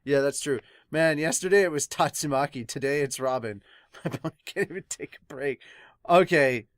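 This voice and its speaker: A man gives a casual, rambling review in an excitable way, often breaking into laughter. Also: a little high, moderate pace, dry recording. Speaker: host of a manga review channel. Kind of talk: casual reaction and review talk.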